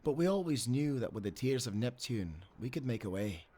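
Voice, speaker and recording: A man plays a seasoned old sailor in a cinematic audio adventure, with a middle-pitched voice. He is devoted and nostalgic, an earnest storyteller with salty, old-fashioned phrasing. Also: sing-song, brisk, mellow, slightly rough. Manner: earnest storytelling, archaic nautical turns of phrase. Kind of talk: cinematic audio drama acting.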